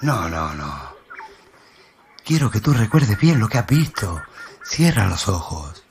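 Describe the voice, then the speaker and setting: A man plays a stern male school inspector in a radio drama. His low voice questions and commands firmly at a slow, deliberate pace, with clear ambient noise behind him. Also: very animated, heavily acted. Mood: insistent. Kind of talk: dramatic radio play storytelling.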